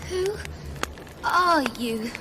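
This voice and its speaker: A woman's voice: high-pitched, lively intonation, drawn-out words, heavy background noise, full character voice. A woman playing a guarded, defiant young girl in an adventure video game, questioning firmly. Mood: wary, defiant.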